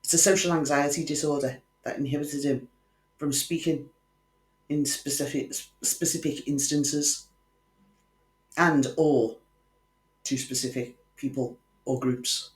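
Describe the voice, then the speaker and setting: A man's voice: a little high, fairly even intonation, measured pace, long pauses. A man hosting a true crime channel, telling a case in scripted narration with wry conversational asides to the viewer. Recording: echoey room.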